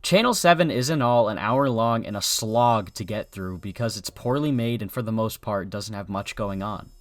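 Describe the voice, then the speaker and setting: A man's voice: on the low side, moderate pace, dry recording. A man narrating a scripted video review in an informal, sardonic, sweary way.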